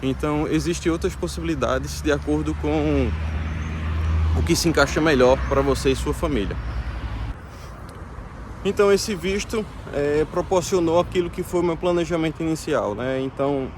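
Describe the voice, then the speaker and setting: A man's voice: a little high, moderate pace, noisy background. A Brazilian man in his thirties with a Brazilian Portuguese accent, explaining things casually to viewers in a hesitant way, with many fillers and restarts. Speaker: vlogger.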